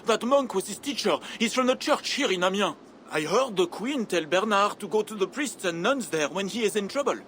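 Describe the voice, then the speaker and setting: A man's voice: high-pitched, animated, fairly quick, a bit bright, slight background noise. A man playing a nervous, frightened tavern keeper in a video game, shaky and hesitant.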